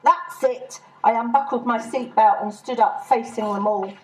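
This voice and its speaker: Higher-voiced woman reading a humorous story. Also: fairly even intonation, normal speed, darkish tone, rough recording. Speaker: author reading own short story. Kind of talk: humorous scripted first-person story narration.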